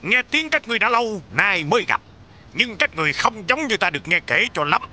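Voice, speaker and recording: A man's voice: high voice, sing-song, a little fast, pushed and bright, heavily acted. A man voicing a boastful, vain and theatrical villain in a children's cartoon, with a pompous, exaggerated delivery. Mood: grandiose. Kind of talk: animated cartoon dubbing.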